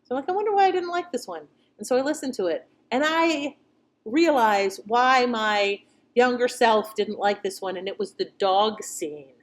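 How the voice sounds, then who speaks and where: A woman's voice: fairly high pitch, lively intonation, normal speed. Middle-aged woman, casual reflective commentary. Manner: conversational, reflective. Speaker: channel host. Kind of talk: casual commentary on old radio shows.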